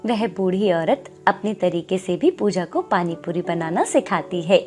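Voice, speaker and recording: A woman's voice: on the low side, expressive, a little slow, darkish tone, faint music behind. A woman reading scripted narration for a moral story as its storyteller.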